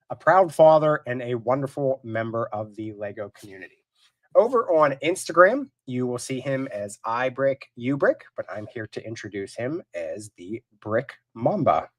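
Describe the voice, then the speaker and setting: A man's voice: medium pitch, no echo. Male host of a live video-call show, under the weather and sniffly, rambling friendly chat, dry close sound. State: stuffy from a cold.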